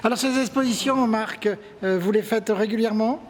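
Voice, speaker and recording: A man's voice: sits high, normal speed, a little hiss or hum. A man working as an interviewer, presenting an exhibition on site and putting questions to the artists. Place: indoors in an exhibition room at a tourist office.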